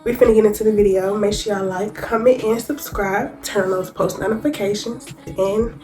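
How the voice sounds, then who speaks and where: A woman's voice: medium pitch, fairly even intonation, moderate pace, darkish tone. A young woman with vlogging to camera, sitting down. She is congested from a cold, and she talks casually to her viewers, calling them y'all. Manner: chatty, addresses viewers as y'all, reads notes from her phone. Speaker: YouTuber. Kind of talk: sit-down casual vlog talk to camera. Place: indoors, sitting down.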